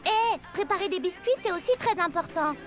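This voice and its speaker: A woman's voice: very high voice, a little fast. A woman voicing a sweet, helpful but firm young baker girl in a French cartoon, earnest and eager. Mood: indignant. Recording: loud music underneath.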